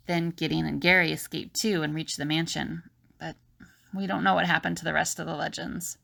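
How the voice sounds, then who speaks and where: A woman's voice: low voice, steady tone, a little fast, creaky, a bit bright, exaggerated voice. A woman playing a resolute, earnest fugitive in an amateur audio drama. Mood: earnest, explaining.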